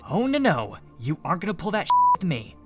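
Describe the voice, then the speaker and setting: A man playing a suspicious, exasperated young male student in an animated roleplay, confrontational in manner. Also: fairly high pitch, brisk, over music. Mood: indignant. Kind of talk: scripted animated roleplay acting.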